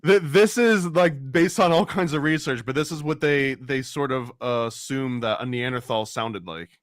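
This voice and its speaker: A man's voice: medium pitch, moderate pace, no room sound, clean studio sound. A man chatting casually on a podcast, hesitant and repeating words as he explains. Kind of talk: casual conversational podcast chat.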